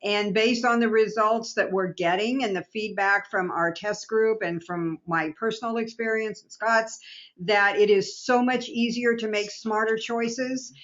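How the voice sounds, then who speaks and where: A woman's voice: medium pitch, fairly even intonation, a little slow, a bit bright, muffled recording. A woman co-presenting on a business training call, talking about a product in an upbeat, chatty way.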